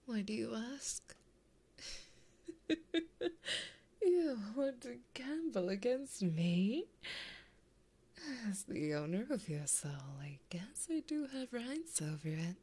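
A woman voicing a flirty, possessive, taunting female demon in an ASMR roleplay, breathy and often whispering in a teasing, intimate way, close to a binaural mic. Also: fairly high pitch, sing-song, takes it slowly, long pauses, mellow, heavily acted. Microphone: close binaural microphone.

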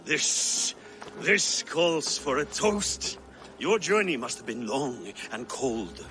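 A weary old Viking king for a video game, speaking slowly and formally with a sharp, forceful edge. His pitch rises and falls dramatically as he defends himself, with music underneath. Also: very animated, full character voice. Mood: surprised, welcoming. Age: older.

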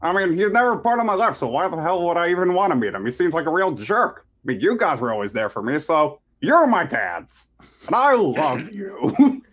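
Woman as a sullen slacker guy, swinging pitch. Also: sing-song, normal speed. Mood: awkward affection. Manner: blunt, swearing, awkward. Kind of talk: improvised comedic cartoon fandub. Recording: clear background noise, clicks.

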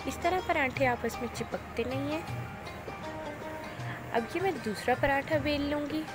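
A woman calmly explains each cooking step in a voice-over, speaking directly to viewers. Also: high-pitched, measured pace. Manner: calm, instructive, addresses viewers directly. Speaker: cooking channel host. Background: loud background music.

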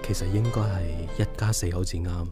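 Prominent music plays behind an actor as a devoted husband and father. His deep voice is reassuring, in the manner of a radio drama. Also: steady tone, fairly quick, close to an everyday voice. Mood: earnest, tender.

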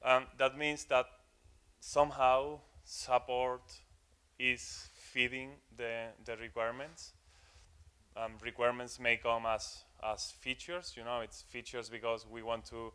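A man's voice: mid-range voice, little pitch movement, a little slow, bright, forceful tone, smooth. A young man giving a technical talk to an audience in a conference room, hesitant with many fillers and repeated words. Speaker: conference speaker.

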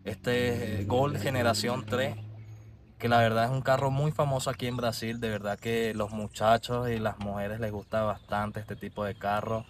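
A man's voice: mid-range voice, moderate pace. Young man, Venezuelan accent, casual car vlog, phone at arm's length, faint outdoor hum. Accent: Venezuelan Spanish. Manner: chatty, relaxed, repeats "de verdad" often. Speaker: vlogger. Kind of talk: casual vlog talk to camera. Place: inside a parked car. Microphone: phone held at arm's length.

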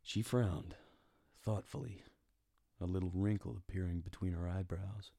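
A man playing a world-weary, wry private detective, deadpan, narrating a noir radio drama. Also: low voice, normal speed, vocal fry. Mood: brooding, wry. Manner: deadpan, hardboiled. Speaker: narrator and lead actor.

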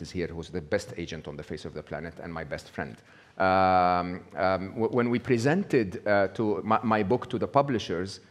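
Middle-aged male speaker, low voice, casual stage talk, keeps asking "okay?". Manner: conversational, checks in with frequent "okay?". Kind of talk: live talk to an audience. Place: small indoor venue with brick wall.

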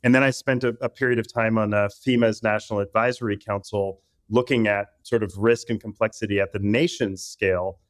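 A man's voice: fairly low pitch, steady tone, a little fast, hardly any pauses, dry recording, studio quality. A man from Puerto Rico speaking as a podcast guest, articulate but often restarting and repeating words. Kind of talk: casual interview talk.

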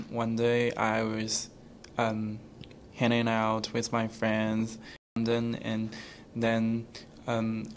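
A young man, an international student, telling a story on student radio with many pauses and hesitations. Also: fairly low pitch, a little hiss or hum. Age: young adult. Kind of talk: casual student radio chat.

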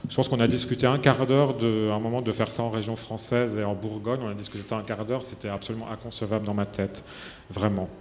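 A man's voice: mid-range voice, darkish tone. Stammering man in a reverberant hall. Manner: stammering, repeating words while thinking aloud. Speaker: film director. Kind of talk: press conference answers. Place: press conference room. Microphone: table microphone. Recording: reverberant hall, faint noise.

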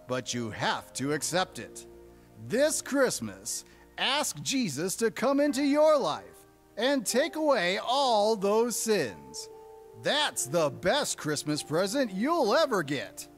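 A man playing an upbeat radio DJ puppet, preaching to children in an emphatic scripted style. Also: sits high. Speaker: radio DJ character in puppet show.

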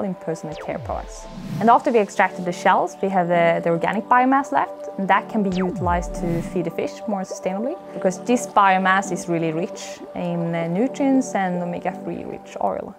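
Woman, 30s, earnest, explanatory, filler words, lapel mic, indoor lab, clear background music. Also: lowish voice. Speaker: company founder and CEO. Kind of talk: documentary interview. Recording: music bed under the voice.

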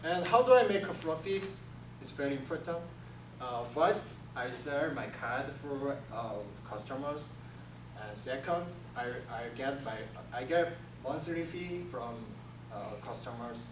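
A man's voice: mid-range voice, animated. Korean-accented man presenting in echoey classroom. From South Korea. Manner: halting, many restarts, simple phrasing. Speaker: student presenter. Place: classroom with projector screen. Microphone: far camera. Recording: echoey room from far off, room hiss.

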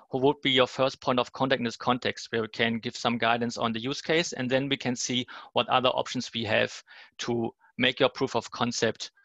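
A man hosts an online seminar in a measured way. His voice is gravelly and sounds dry and dull, with little room sound. He closes his part and hands over. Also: mid-range voice, bright, forceful tone, no room sound. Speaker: seminar host from the cloud company.

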